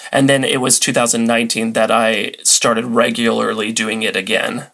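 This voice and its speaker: A man's voice: on the low side, level tone, moderate pace, gravelly, pushed and bright. A man chatting casually and enthusiastically as a podcast guest in an interview.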